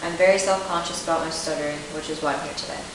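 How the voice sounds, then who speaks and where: A woman's voice: deep voice, rapid-fire, lightly acted. A young woman playing a self-conscious eighteen-year-old client. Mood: earnest. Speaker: client in a role-played speech therapy interview. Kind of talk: scripted role-play. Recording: echoey room, distant mic, steady hiss.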